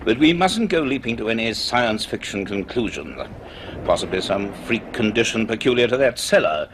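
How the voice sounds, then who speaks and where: A low-pitched, donnish man of science, played by an actor in a radio play. He speaks with measured deliberation and quiet authority, an inquisitive professor telling his tale. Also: animated, a little hiss or hum, natural voice. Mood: sceptical, reasoning. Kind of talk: radio drama acting and reflective first-person narration.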